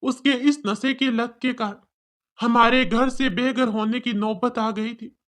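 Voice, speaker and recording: Humble, sorrowful older father for cartoon drama, played by a woman, pitched below narrator but still highish. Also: fairly even intonation, a little slow, close to an everyday voice. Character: older fathers, humble, regretful, gently moralizing men.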